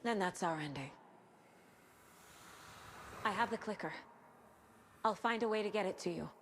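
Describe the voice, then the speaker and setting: An actress playing a determined, level-headed investigator in a video game cutscene, speaking firmly and seriously. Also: female voice, mid-range voice, fairly bright tone, a little hiss or hum, lightly acted. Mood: resolute. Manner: firm, measured, serious.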